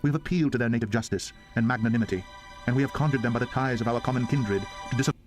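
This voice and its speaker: A man with a mid-range voice delivers a formal, impassioned dramatic reading. His oratory is solemn and stately, and music plays clearly behind him. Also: medium pitch, rapid-fire. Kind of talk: dramatic reading of a historical declaration.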